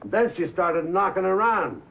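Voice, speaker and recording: Cocky, high-voiced American bandleader. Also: male voice, big pitch swings, a little slow, creaky, heavily acted. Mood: scornful. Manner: slangy, cocky, punchy street talk. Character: brash, defensive male bandleader, fiercely loyal, wise-guy talker. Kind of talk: 1950s American film drama acting. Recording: muffled vintage film soundtrack.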